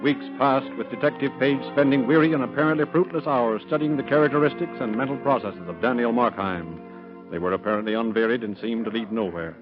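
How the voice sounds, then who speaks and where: A man's voice: mid-range voice, brisk. A man narrating a drama from a script in 1930s radio style. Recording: dull lo-fi vintage radio recording. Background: loud music.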